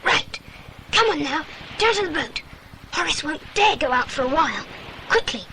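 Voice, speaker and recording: High-voiced, bossy adventurer boy. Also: lively intonation, normal speed, bright, forceful tone, a little hiss or hum, full character voice, poor audio. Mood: urgent, scheming. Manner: commanding, decisive. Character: bold, bossy adventurous boy leading his friends. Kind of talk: dramatised children's audiobook acting.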